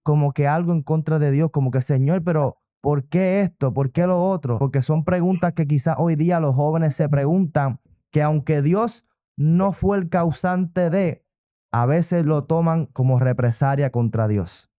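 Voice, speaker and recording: Mellow-voiced male interviewer. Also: on the high side, a little slow, dry recording, studio quality. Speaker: interviewer host. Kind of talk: religious interview questions.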